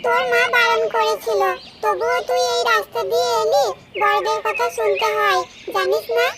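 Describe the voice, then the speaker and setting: A woman's voice: very high-pitched, steady tone, moderate pace, heavy background noise. A woman voicing a gloating, man-eating old witch who scolds and threatens in a cartoon fairy tale. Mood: scolding, menacing.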